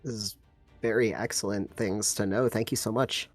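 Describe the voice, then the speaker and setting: A man's voice: fairly low pitch, steady tone, normal speed, no room sound, soft background music. Man chatting casually, dry close sound. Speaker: tabletop game player. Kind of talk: casual chat during a tabletop game.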